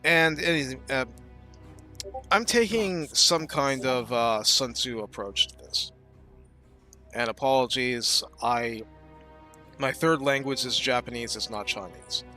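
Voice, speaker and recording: Bright-voiced man explaining game strategy. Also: mid-range voice, takes it slowly, long pauses, faint music behind. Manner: thoughtful, explanatory, with frequent fillers. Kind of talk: casual gameplay commentary.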